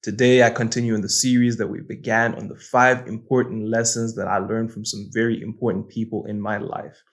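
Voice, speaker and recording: A young man from Malawi speaks in a low, fairly flat voice. He talks earnestly and reflectively, like a host sharing personal lessons, and he often circles back over his words as he thinks. Overall the tone stays even and steady. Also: a little fast. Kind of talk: casual reflective monologue.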